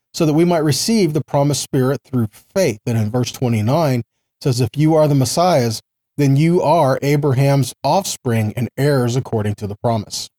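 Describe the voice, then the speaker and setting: A man with a fairly high yet mellow voice gives an informal Bible lesson. He moves between reading scripture and explaining it in a didactic manner. He often puts rhetorical questions to the listener and seeks agreement. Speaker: Bible teacher.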